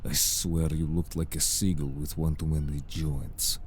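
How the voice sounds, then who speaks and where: A man's voice: rumbling low, flat delivery, slight background noise. A man playing a composed, exasperated merman in human form, speaking formally and dryly in a scripted audio drama. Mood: exasperated, scolding. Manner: formal, measured, dry. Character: composed, exasperated older-brotherly male merfolk in human form. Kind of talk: scripted audio roleplay acting.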